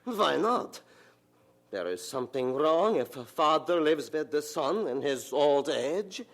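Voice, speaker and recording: Radio drama role: self-pitying, grasping old German father, German accent, swinging widely in pitch, deliberate pace. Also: big pitch swings. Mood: indignant. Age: older. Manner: emphatic, guilt-tripping, inverted foreign-sounding phrasing.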